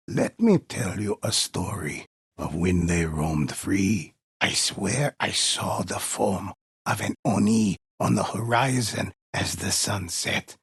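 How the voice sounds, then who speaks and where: Low, husky, ominous legend teller. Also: male voice, no room sound, studio quality, exaggerated voice. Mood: hushed, mysterious. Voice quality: husky, gravelly. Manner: slow, ominous, deliberate, reverent. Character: grave, mysterious male teller of demon legends. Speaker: storyteller character in a samurai video game. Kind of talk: dramatic in-game storytelling.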